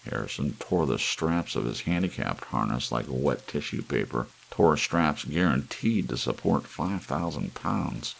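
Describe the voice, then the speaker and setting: Very deep, gravelly male audiobook narrator. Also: rough recording. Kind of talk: scripted story narration for ASMR audiobook. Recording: faint hiss with rain sound. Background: soft rain.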